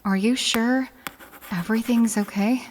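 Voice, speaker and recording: A woman's voice: fairly high pitch, fairly even intonation, measured pace. A woman playing a grieving, bewildered partner seeking answers in an audio drama, with an uneasy, questioning delivery. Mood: confused, uneasy.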